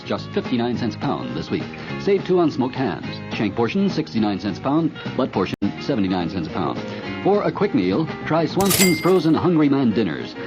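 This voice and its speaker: Lively male radio commercial announcer. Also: medium pitch. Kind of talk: upbeat scripted supermarket ad read. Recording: muffled vintage radio. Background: music.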